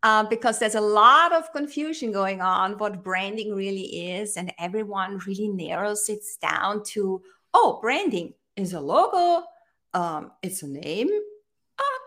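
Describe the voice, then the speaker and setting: A woman from Austria, a brand strategist and interview guest, speaking earnestly and inspirationally with slightly halting phrasing. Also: medium pitch, sing-song, pushed and bright. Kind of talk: conversational interview.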